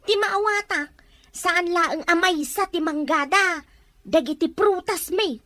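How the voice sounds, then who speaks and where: A woman's voice: very high voice, unhurried, sharp tone, no echo, exaggerated voice. A woman voicing a talkative, emotional young village woman in a scripted audio drama. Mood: worried.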